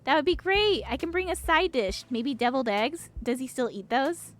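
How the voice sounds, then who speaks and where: A woman's voice: high-pitched, lively intonation, normal speed, a bit bright. A woman playing a friendly, eager, slightly nervous young small-town woman in a visual novel, chatty and apologetic. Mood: polite, eager.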